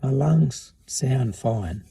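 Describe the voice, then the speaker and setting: A middle-aged man with a hoarse voice he is losing, talking plainly and matter-of-factly in a casual vlog. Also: medium pitch, expressive, extremely slow, mellow, no echo. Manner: plain, matter-of-fact talk with restarts. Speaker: vlogger. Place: in a car, then outdoors in a yard. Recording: noisy car and outdoor background.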